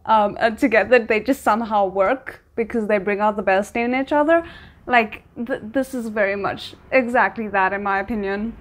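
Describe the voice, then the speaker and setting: A woman giving casual, unscripted reaction commentary as she watches a drama series, thinking aloud in an informal, halting way. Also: mid-range voice. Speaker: reaction video host.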